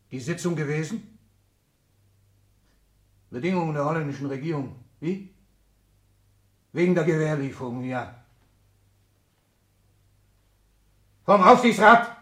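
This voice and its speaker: An actor playing an ailing, power-hungry old tycoon and father in a 1960s German radio play, declamatory and commanding, moving between whispers and shouts. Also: fairly high pitch. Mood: agitated, barking. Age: older.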